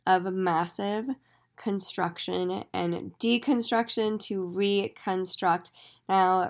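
A woman hosting a solo astrology podcast, her voice raspy and hoarse, speaking in an enthusiastic, effusive way. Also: medium pitch. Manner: enthusiastic, effusive, talks in spiritual terms. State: hoarse after days of yelling. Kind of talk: casual solo podcast monologue.

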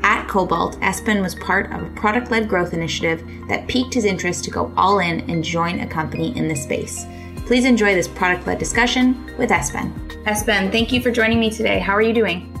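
Gravelly-voiced woman podcast host. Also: medium pitch, brisk, music in the background. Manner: upbeat, smooth scripted delivery. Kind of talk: scripted intro then interview.

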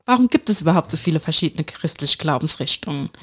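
A woman's voice: deep voice, lively intonation, fast, breathy edge. A woman with a Palatinate German accent, co-hosting a casual podcast about the Bible and finishing her co-host's sentences. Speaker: podcast co-host.

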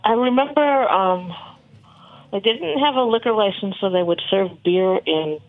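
A chef from Michigan talking casually as an interview guest, relaxed and rambling, with many ums and restarts. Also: medium pitch, a little slow, no echo. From Michigan, USA. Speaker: chef and cookbook author, guest. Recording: tinny phone line, dry.

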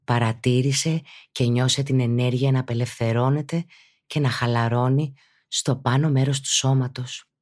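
A woman speaking as a yoga teacher and podcast host, guiding a meditation in a calm, instructive, deliberate way. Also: a bass voice. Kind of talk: guided meditation narration.

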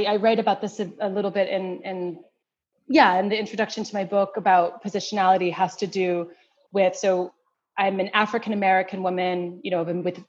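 A woman's voice: mid-range voice, a little mellow. Thoughtful middle-aged woman, muffled audio. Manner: thoughtful, many restarts and fillers. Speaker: anthropologist guest. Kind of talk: interview answers.

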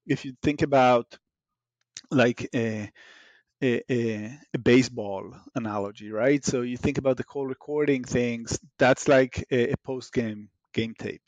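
A man's voice: mid-range voice, a little slow, darkish tone, no echo, highs cut off. A man from Israel with an Israeli accent, a startup founder talking animatedly in an interview, restarting often with fillers. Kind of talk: casual interview talk.

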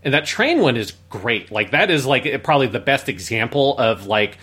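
A man's voice: mid-range voice, big pitch swings, moderate pace, pushed and bright, husky. A man co-hosting a podcast, giving casual but analytical commentary in a review discussion, explaining at length with emphasis. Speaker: podcast co-host.

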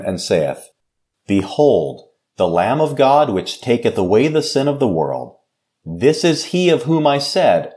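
A man, a pastor, giving a devotional and reading scripture aloud in a slow, measured way. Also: lowish voice, darkish tone. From United States.

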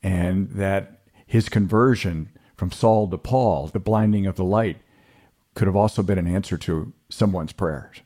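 Podcast host guy, super deep mellow voice, reflective and appreciative. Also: a little slow. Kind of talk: podcast interview.

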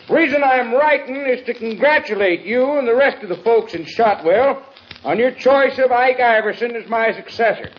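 An actor playing a crafty, back-slapping small-town politician giving a folksy, declamatory campaign speech in an old-time radio Western. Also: male voice, very high voice. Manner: folksy, glad-handing, declamatory. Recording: muffled old radio recording, crowd, noise.

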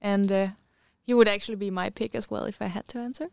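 A woman hosting a company podcast, chatty and upbeat, with many "uh" fillers. Her voice is smooth and clean, at a middle pitch, and recorded dry and close. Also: steady tone, fast, darkish tone, no echo. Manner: upbeat, with frequent laughs and "uh" fillers. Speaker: podcast host.

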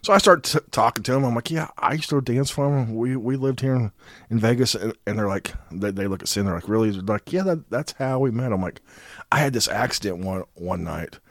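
A man's voice: mid-range voice, brisk, no echo, clean studio sound. A middle-aged man co-hosting a casual chat, telling profane, jokey stories and throwing himself into the voices of the people he quotes. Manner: animated, over-the-top storyteller with big voiced quotes. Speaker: podcast co-host.

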